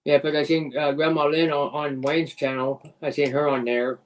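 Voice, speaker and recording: A man's voice: on the high side, flat delivery, normal speed, poor audio. A man around sixty chatting casually as a guest on a live stream, often repeating himself. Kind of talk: casual chat.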